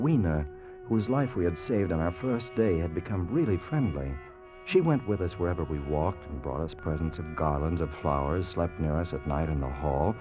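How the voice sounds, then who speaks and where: Young adventurer narrating, deep and animated, muffled old radio recording. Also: male voice. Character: young male time traveller, earnest. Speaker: narrator and time traveller. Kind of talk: 1940s radio acting.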